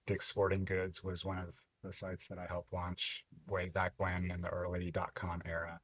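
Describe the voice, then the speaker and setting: A man in his fifties, originally from Lebanon, telling his story as a podcast guest in a reflective, unhurried way. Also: sits low, monotone, fairly bright tone, husky, no room sound. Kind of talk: casual interview chat.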